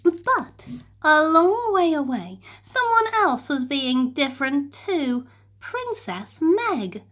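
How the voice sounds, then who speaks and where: Playful children's story narrator, unhurried, lots of lilt. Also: female voice, high-pitched. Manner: animated, slow and playful. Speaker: storybook reader.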